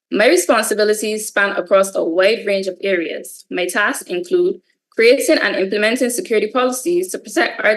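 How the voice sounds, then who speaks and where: A woman's voice: medium pitch, rough recording. Barbadian woman giving a formal presentation. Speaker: guest speaker, cybersecurity lead. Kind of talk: scripted presentation. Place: online video session.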